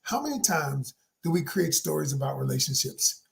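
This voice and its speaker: A man in his sixties, a life coach giving a motivational talk to viewers. He is emphatic and preachy, calls his listeners "y'all," and swings from hushed to shouted. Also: a little high, sing-song, normal speed, gravelly. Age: 60s.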